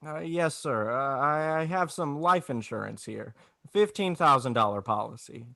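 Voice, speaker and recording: An actor playing an agitated small-town family man in 1940s radio drama, halting and stammering as he talks. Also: a little high, expressive, gravelly, bright, forceful tone, no echo, clean studio sound, exaggerated voice. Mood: pleading, defeated. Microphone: close, dry video-call microphone.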